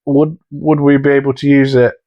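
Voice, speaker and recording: A man co-hosting a podcast, giving casual judgements in relaxed conversation. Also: medium pitch, moderate pace, highs cut off. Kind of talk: casual chat.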